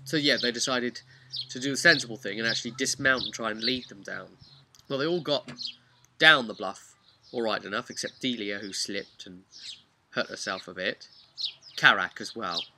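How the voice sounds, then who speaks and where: A man's voice: medium pitch, normal speed. A man working as a game master, casually recapping a tabletop role-play session in a rambling, conversational way. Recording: clearly noisy, birdsong behind.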